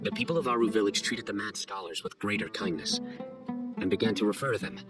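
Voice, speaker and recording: Low-voiced, stern male investigator played by an actor. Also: over loud music, close to an everyday voice. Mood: solemn, storytelling. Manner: measured, formal, deliberate. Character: stern, guarded male investigator, young adult, formal and measured. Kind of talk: scripted video game dialogue.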